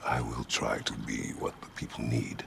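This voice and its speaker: Very deep, gravelly warrior general. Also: male voice, level tone, moderate pace, noisy background, heavily acted. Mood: solemn, humble. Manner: terse, grave, formal. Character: stern, stoic, battle-hardened older male warrior general. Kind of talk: video game cinematic acting. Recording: game music behind the voice.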